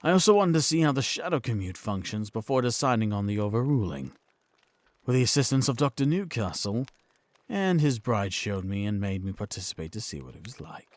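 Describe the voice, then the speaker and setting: An actor playing a knight commander who explains himself in a crisp, officer-like way. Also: male voice, fairly low pitch, sing-song, a little fast, no room sound. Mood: composed. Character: composed male knight commander, always one step ahead.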